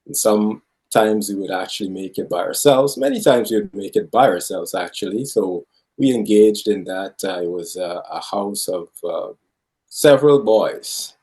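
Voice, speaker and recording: A man's voice: on the low side, normal speed, a little mellow. A man from Guyana telling a childhood story in an easygoing way, with frequent fillers. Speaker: guest. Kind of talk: casual chat, storytelling.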